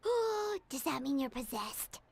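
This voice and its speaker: A woman voicing a tiny, excitable female companion who talks about herself in the third person, in a game. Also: sits high, measured pace, full character voice. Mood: wary. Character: tiny floating female companion, chirpy and nosy. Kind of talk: game voice acting.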